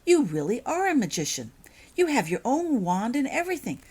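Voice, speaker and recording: Woman voicing an excited, high-pitched little girl. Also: brisk, breathy edge. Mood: amazed. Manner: breathless, exclaiming, eager. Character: excited, curious little girl, chatty and full of wonder.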